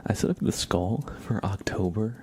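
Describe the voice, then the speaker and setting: A man hosting a live ASMR stream in a soft, often whispered voice, chatting informally in loose, hesitant phrases. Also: lowish voice, normal speed. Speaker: ASMR livestream host. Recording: clear background ambient noise.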